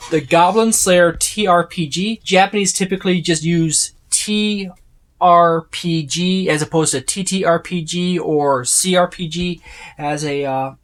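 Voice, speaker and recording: A video host giving a casual, unscripted overview in a rambling, explanatory way with informal asides. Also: medium pitch. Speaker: host of tabletop gaming video channel.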